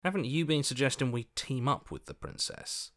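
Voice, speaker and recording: A man's voice: mid-range voice, moderate pace, a bit bright, natural voice. A man playing an earnest, hopeful hero's inner voice in a video game, questioning and pleading as he talks. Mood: reasoning, incredulous.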